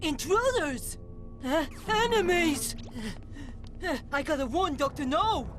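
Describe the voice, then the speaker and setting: A woman's voice: sits high, expressive, slow pace, soft background music. A woman voicing an eager, servile, agitated minion soldier in a children's cartoon. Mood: alarmed. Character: jittery, eager loyal minion soldier of a villain. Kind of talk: cartoon henchman acting.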